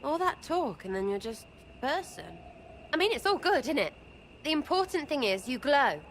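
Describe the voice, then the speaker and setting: A woman's voice: sits high, sing-song, moderate pace, slight background noise. An actress playing a cheeky, crude young woman archer who rambles and mocks in a game cutscene. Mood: mocking. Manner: flippant, rambling, mocking.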